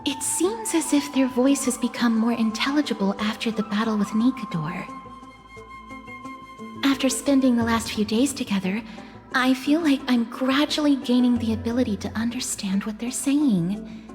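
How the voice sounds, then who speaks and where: A woman playing a gentle, polite young companion in a video game, formal and considerate in manner. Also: a little high, normal speed, long reverb tail, soft background music, close to an everyday voice. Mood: warm, musing. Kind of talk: video game dialogue acting.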